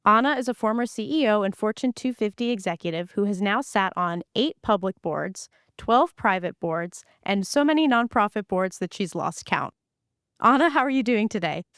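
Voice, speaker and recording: A woman's voice: mid-range voice, expressive, normal speed, fairly bright tone, smooth, dry recording. An enthusiastic woman hosts a podcast interview and walks through research findings. Her voice is clean and resonant, recorded dry and close. She sounds upbeat and eager.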